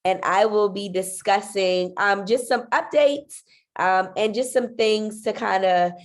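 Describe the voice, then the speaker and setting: A woman's voice: mid-range voice, measured pace. Congested nasal woman addressing parents. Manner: friendly, filler-heavy with frequent ums. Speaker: school principal. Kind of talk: online parent meeting presentation.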